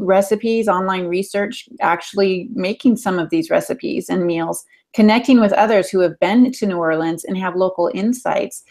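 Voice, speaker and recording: Middle aged lady on a podcast call, medium pitch, explaining stuff conversationally. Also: a little mellow. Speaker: podcast panelist, editor. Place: room at home, video call.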